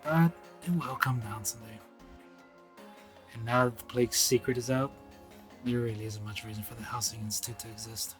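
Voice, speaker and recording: A man playing a world-weary investigator in a radio drama, speaking in a grave, deliberate, dramatic way. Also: mid-range voice, steady tone, soft background music, lightly acted.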